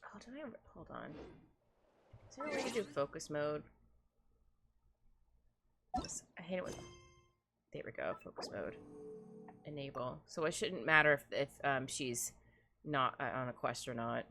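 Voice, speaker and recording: A chatty woman streamer commenting as she plays, often giggling and muttering to herself. Her voice swings up and down in pitch. Game music is clearly heard behind her. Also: very animated, normal speed, long pauses. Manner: chatty, giggly, talks to herself while playing. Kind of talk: casual playthrough commentary.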